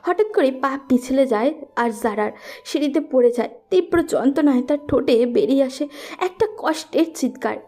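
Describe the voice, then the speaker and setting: A woman's voice: sits high, warm tone. A woman reading a scripted romantic story as its narrator.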